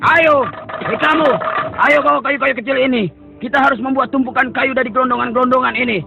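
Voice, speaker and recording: Harsh bootlicking overseer, very high gravelly bark, muffled cartoon dub track. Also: male voice, fairly even intonation, quick pace, bright, forceful tone, soft background music, heavily acted. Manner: barking orders, fawning to the king. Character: fawning harsh royal overseer, grown man.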